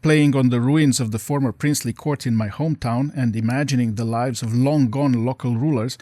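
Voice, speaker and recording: A man's voice: medium pitch, steady tone, mellow, no echo. Romanian-born man, dry and formal. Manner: measured, formal phrasing. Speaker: guest professor. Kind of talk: formal interview answers.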